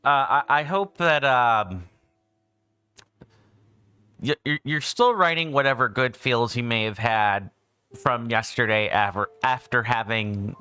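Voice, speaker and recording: A man's voice: on the low side, bright, forceful tone, smooth, no echo. A man, just woken up, chatting casually as a game stream host, rambling with frequent self-corrections. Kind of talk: casual livestream chat.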